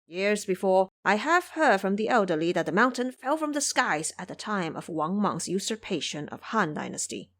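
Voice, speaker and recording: A woman's voice: medium pitch, sing-song, moderate pace, hardly any pauses, fairly bright tone. A woman voicing a bold, reassuring male mountain guardian with an emphatic, explanatory manner in an audio drama. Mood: explaining, reassuring.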